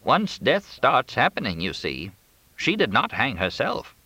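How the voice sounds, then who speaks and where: A man's voice: mid-range voice, wildly swooping pitch, a little slow, bright, forceful tone, husky, dry recording, voice pushed up, heavily acted. A man voicing a grim, resigned old woman, a grieving mother, in an audiobook story. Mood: grim, certain.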